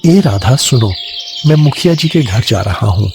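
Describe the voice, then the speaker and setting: A man voicing a kind, humble villager in a cartoon story, earnest and pleading, with a husky, creaky voice. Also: medium pitch, lively intonation, a little slow, darkish tone, soft background music.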